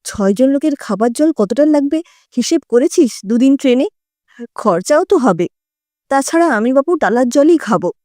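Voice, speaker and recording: A woman's voice: sits high, expressive, moderate pace, mellow, dry recording, clean studio sound. A woman storyteller voicing a fussy, bossy elderly Bengali mother-in-law in a homely, grumbling way. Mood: stubborn.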